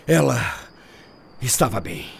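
An actor playing a scheming, indignant middle-aged husband pompously justifying himself in a radio drama. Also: male voice, medium pitch, sing-song, takes it slowly, creaky, a little hiss or hum, heavily acted. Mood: feigned concern.

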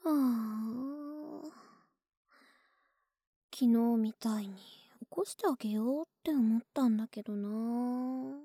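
A woman playing an affectionate, sleepy young neighbor in an intimate ASMR voice drama, speaking softly and tenderly, often in whispers, very close to the microphone. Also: on the high side, dry recording, exaggerated voice. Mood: drowsy, waking. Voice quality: soft, breathy, often whispered. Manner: slow, tender, coaxing, drawn-out drowsy words. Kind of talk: Japanese ASMR situation voice drama, intimate and soothing. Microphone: very close ASMR microphone, dry sound.

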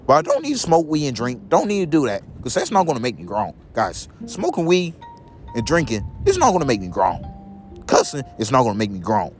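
A young St. man talks straight to his viewers in a loose, rambling way, looping back over the same phrase again and again. His pitch jumps all over the place, climbing high and then dropping low. Music plays clearly behind him. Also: huge pitch swings, fairly quick, a little mellow. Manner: repeats each phrase several times, keeps addressing viewers as "guys". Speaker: vlogger. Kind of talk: rambling casual monologue to viewers. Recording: music playing in the background.

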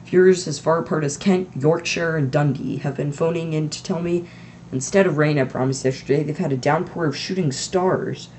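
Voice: mid-range voice, normal speed, darkish tone, slight background noise, close to an everyday voice. A reader voicing a jokey, chatty male television weatherman. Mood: jovial. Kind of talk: mock weather report.

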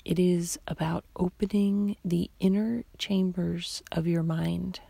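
A woman guiding a sleep meditation in a soft, half-whispered, soothing voice, leaving long pauses between short phrases. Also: on the low side, fairly even intonation, vocal fry, breathy edge, dry recording. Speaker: meditation guide.

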